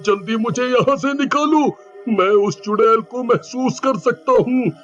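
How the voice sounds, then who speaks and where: Man acting a very high-pitched, panicked village husband. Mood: panicked, pleading. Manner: wailing, pleading, drawn-out exclamations. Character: panicked, whining village husband trapped on railway tracks. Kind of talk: exaggerated cartoon story acting. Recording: dull, lo-fi sound with music behind.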